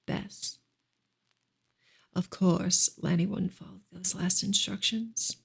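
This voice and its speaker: A woman reading a novel aloud in a soft, hushed, breathy ASMR style, often slipping into a whisper. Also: fairly low pitch, fairly even intonation, slow pace. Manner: hushed, gentle delivery.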